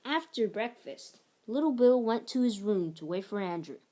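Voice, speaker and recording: A woman reading a children's picture book aloud, narrating in an expressive, measured storytelling manner. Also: mid-range voice. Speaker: story reader.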